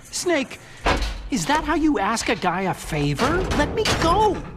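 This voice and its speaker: An actor playing a nervous, eager young scientist sidekick in a video game, boyish and easily flustered. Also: male voice, very high voice, wildly swooping pitch, unhurried, very noisy, exaggerated voice. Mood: excited, flustered.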